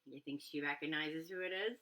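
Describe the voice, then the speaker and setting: A woman reading a children's storybook aloud expressively, pausing to put questions to her young listeners. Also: low-pitched, pushed and bright, rough recording. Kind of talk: animated children's read-aloud with asides to listeners.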